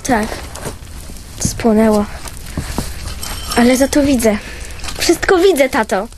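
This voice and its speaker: Little girl, innocent and simple, high voice, radio drama, noisy background. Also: lively intonation, takes it slowly, a little mellow. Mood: quiet wonder. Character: little daughter, delighted her sight has returned.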